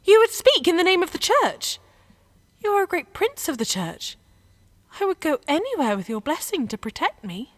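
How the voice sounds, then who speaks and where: A headstrong young peasant woman warrior, acted by a woman for a radio play. The voice is high and very animated, rising and dropping freely. The manner is devout, insistent and plain-spoken. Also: moderate pace. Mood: distressed, pleading.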